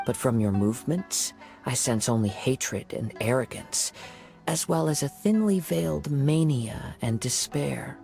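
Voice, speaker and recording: A calm young swordsman reasons aloud in a video game. His voice is slightly gravelly and his delivery is measured and unhurried. Faint music plays behind him. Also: mid-range voice. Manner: measured, composed, analytical. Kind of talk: game voice acting. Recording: game soundtrack under voice.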